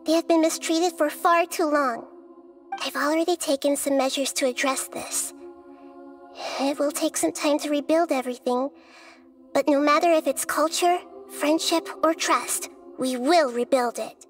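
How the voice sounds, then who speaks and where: Woman as a serene, soft, girlish god of wisdom. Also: high voice, steady tone, close to an everyday voice. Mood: earnest, resolute. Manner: measured, earnest, articulate explaining. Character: gentle young girl god of wisdom, serene, thoughtful. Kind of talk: video game voice acting. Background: background music.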